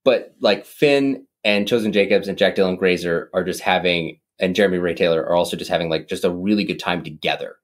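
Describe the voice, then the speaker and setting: A man's voice: brisk, few pauses. A man co-hosting a podcast, chatting casually and at length about a film, with lots of fillers and restarts. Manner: animated, rambling, mimics voices with big pitch jumps. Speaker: podcast co-host. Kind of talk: casual movie discussion.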